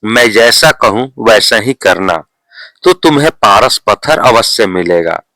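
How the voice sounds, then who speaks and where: A man voicing a calm, revered village holy man in a storybook tale, measured and solemn as he instructs. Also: fairly low pitch, no echo, full character voice. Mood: calm, instructing.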